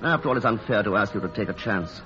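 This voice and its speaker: An actor playing a charming, idle playboy artist in a vintage radio romance, speaking tenderly in a halting, self-deprecating way. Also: male voice, fairly low pitch, expressive, close to an everyday voice. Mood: hesitant, earnest. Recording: dull old radio recording, music behind.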